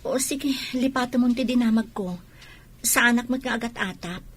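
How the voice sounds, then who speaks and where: A woman's voice: on the high side, normal speed, breathy edge. A woman playing a worried, suspicious wife and patient mother in an Ilocano radio drama, subdued and questioning, with an Ilocano accent. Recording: faint background noise.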